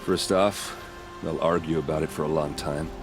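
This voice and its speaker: A low-voiced, wry warrior captain. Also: male voice, steady tone, moderate pace, over music. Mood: grim, dry. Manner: casual, blunt, wry. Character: gruff, wry warrior captain, blunt and self-deprecating. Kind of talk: dramatic video game cutscene acting.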